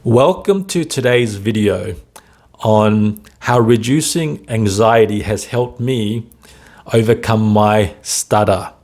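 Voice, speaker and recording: Man, stutter coach, slow and measured, lowish voice, pauses often, checks in with "right?". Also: darkish tone. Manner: slow, deliberate delivery with frequent pauses and "right?" tags. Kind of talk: motivational talk to camera.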